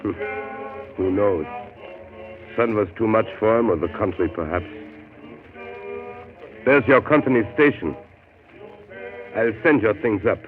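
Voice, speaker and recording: An actor playing a world-weary, wry and fatalistic steamer captain in a 1930s radio drama. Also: male voice, on the low side, a little slow, pauses often, close to an everyday voice. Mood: resigned. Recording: tinny 1930s radio broadcast with music.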